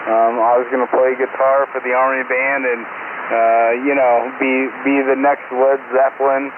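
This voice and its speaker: A man chatting casually over amateur shortwave radio, easygoing and halting in his delivery. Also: mid-range voice, little pitch movement. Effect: heard over shortwave radio. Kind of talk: casual two-way radio chat. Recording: hissy, dull, very noisy radio signal.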